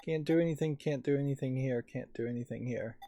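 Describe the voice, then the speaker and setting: Mellow let's play host thinking aloud. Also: medium pitch, brisk. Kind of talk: casual game commentary. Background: game music.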